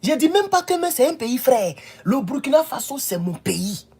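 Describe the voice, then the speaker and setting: A man's voice: extremely high pitch, big pitch swings, normal speed, a little mellow, a little hiss or hum. A man from the Ivory Coast, giving emphatic political commentary in a home video and often repeating himself. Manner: emphatic, repeats himself, rises to insistent peaks.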